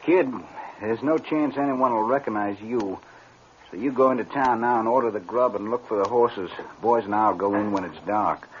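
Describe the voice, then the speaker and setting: An actor playing a tired, rough western outlaw in an old radio drama. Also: male voice, fairly low pitch, moderate pace, close to an everyday voice. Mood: planning, bossy. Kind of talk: 1950s radio western acting. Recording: dull, slightly noisy old radio recording.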